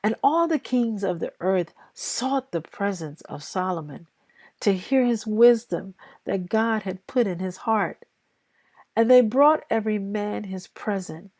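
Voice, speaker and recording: A woman reading scripture aloud in a deliberate, halting way, phrase by phrase. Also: mid-range voice, mellow, dry recording. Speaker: scripture reader.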